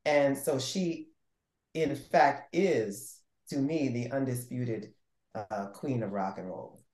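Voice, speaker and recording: A man who grew up in New York and Maryland, a musician guest speaking in a measured, thoughtful way. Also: medium pitch, a little mellow. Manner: thoughtful, measured, trailing off at times. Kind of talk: casual interview. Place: indoor room with patterned wall. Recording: roomy echo.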